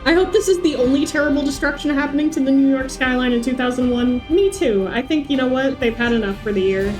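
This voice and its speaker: A woman's voice: high voice, fast talker, over music, low-quality recording. A woman streaming a game with casual, playful, sweary chat, often talking to herself. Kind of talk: casual livestream gaming chat.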